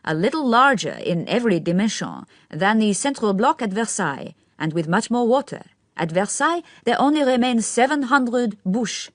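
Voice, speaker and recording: A woman's voice: on the high side, big pitch swings, moderate pace, no room sound, studio quality, voice pushed up. A woman reading the part of an affected, theatrical young man who gushes and stresses every syllable through a curious accent of his own. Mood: boastful. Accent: odd foreign-tinged accent of his own. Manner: gushing, every syllable overemphasized, speaking through a smile. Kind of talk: audiobook character reading.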